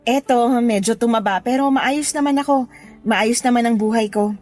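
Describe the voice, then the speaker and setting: An actress with a Filipino accent playing a reserved, slightly awkward younger sister in restrained radio drama dialogue. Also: female voice, on the high side, moderate pace, darkish tone, close to an everyday voice. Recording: faint music under dialogue. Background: faint music.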